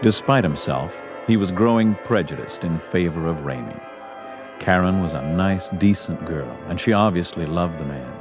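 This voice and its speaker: A man's voice: deep voice, lively intonation, normal speed. A man narrating a Western story as a scripted audio drama. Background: music.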